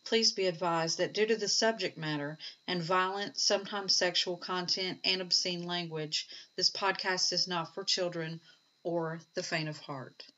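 A woman reading a formal content warning in a measured voice. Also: on the low side, steady tone, bright, forceful tone, dull audio. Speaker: disclaimer announcer.

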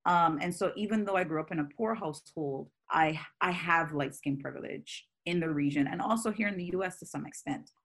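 Woman, very low voice, outspoken, animated, interview guest. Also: fairly quick, few pauses. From Barbados. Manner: animated and forthright, pushing through long thoughts. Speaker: scholar and guest. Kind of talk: conversational interview.

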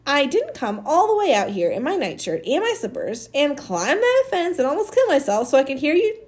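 A woman's voice: sits high, very animated, moderate pace, slightly rough, pitched above the performer's own voice, full character voice. A woman voicing a bossy, scolding young girl in a storybook, snappy and grumbling. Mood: furious, commanding.

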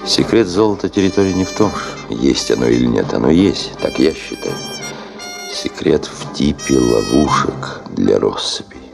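Very deep, gravelly brooding expedition boss. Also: male voice, expressive, normal speed, over music, full character voice. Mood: brooding, insistent. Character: driven, commanding male head of a gold-prospecting expedition. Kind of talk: Soviet radio drama acting.

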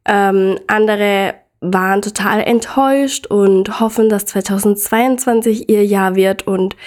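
A young woman hosting her own podcast, talking casually and enthusiastically straight to the listener, her voice slightly hoarse from a cold. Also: on the high side, a little mellow. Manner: upbeat, enthusiastic, addresses listener directly. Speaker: podcast host. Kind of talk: casual solo podcast talk.

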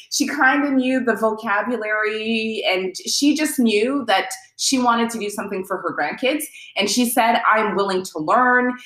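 A woman's voice: mid-range voice, a bit bright. Chatty woman in an echoey room. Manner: talkative, many fillers and restarts. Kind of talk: casual conversation. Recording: roomy echo.

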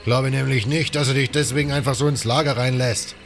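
Man acting out a gruff, suspicious bandit sentry. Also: medium pitch, fairly even intonation, brisk, faint music behind, pitched above the performer's own voice, natural voice. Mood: grudging, griping. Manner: blunt, coarse. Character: gruff, suspicious, griping bandit sentry guarding a swamp outpost.

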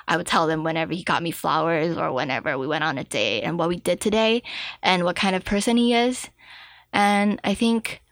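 A woman's voice: on the low side, gravelly, dry recording. A young adult woman from China telling personal stories casually as an interview guest.

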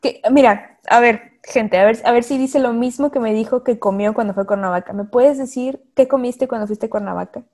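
A woman's voice: a little high, a little fast, few pauses, darkish tone. Chatty woman hosting a faith podcast. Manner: chatty, laughs often, many fillers. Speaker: podcast host. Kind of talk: casual faith podcast chat.

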